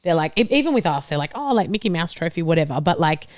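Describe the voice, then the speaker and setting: Fast-talking low-voiced woman on football panel. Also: big pitch swings, darkish tone. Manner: informal, frequent "like" and casual swearing. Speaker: panelist on a football fan show. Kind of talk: casual chat, football debate.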